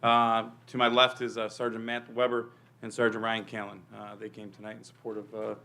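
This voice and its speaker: A police chief briefing a local board, talking quickly in a gravelly voice. He speaks loudly so the room can hear him, with plenty of "uh" and "um". The pitch stays flat throughout. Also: background noise. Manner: speaks loudly without a microphone, filler-heavy. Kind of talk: presentation to a village board. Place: meeting room.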